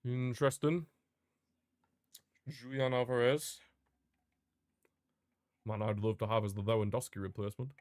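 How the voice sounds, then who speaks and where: A man's voice: mid-range voice, steady tone, a little slow, long pauses, darkish tone, no echo, clean studio sound. A man reacting live to a football match as a streamer, ranting and calling out to his chat. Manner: passionate, frustrated fan outbursts and rants; talks to live chat viewers.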